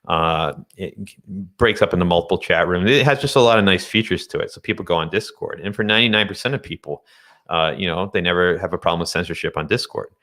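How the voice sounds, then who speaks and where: Casual male guest, very low pitch with a forceful edge, thinks aloud in halting phrases. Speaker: guest, day trader. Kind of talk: casual discussion of finance and tech.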